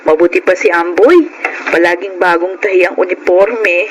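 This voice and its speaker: A man's voice: high voice, steady tone, normal speed, a little hiss or hum, close to an everyday voice, low-quality recording. An actor playing a chatty, nosy female neighbor in an audio drama, curious and gossipy. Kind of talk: Filipino audio drama acting.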